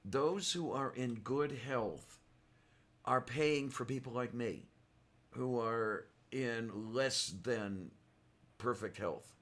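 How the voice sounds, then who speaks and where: A man hosting a casual livestream chat, rambling and halting, his voice hoarse from a sore throat. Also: mid-range voice, fairly bright tone. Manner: rambling, halting, many fillers. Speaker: livestream host.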